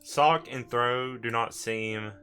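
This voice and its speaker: A man narrates a tabletop roleplay game as its game master. His voice is bright and clear-cut at a middle pitch, over faint guitar music. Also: takes it slowly.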